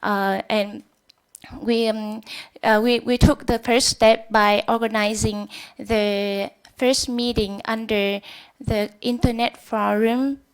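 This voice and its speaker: A woman from Vietnam speaks at a workshop in a clear, smooth voice. Her pace is very slow and hesitant, as she searches for English words with a Vietnamese accent. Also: fairly high pitch, steady tone, low-quality recording. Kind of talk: public presentation.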